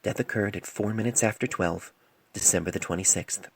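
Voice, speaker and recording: A man voicing a stern, grieving middle-aged female pony doctor in an audio storybook, grave and measured, on an amateur phone recording. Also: on the low side, husky, dry recording. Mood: firm. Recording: noisy amateur cell phone mic recording.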